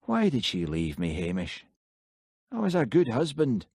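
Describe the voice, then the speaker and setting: A man's voice: medium pitch, huge pitch swings, measured pace, creaky, darkish tone, breathy edge, no room sound, clean studio sound, voice pushed up, full character voice. A man reading an audiobook, voicing a gloomy, self-pitying husband whose wife has left him. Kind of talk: audiobook character voice.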